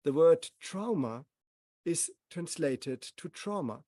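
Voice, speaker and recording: Mellow man, slow and solemn. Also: fairly high pitch, expressive, dry recording, clean studio sound. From Germany. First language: German. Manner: measured, speaks in short solemn phrases. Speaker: speaker at a gathering. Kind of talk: reflective personal talk.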